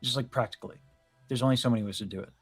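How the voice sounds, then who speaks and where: A man's voice: mid-range voice, very fast, husky. A man talking voice technique, music behind. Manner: analytical, explains vocal technique in detail. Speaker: host, vocal coach. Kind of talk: casual video-call chat about singing.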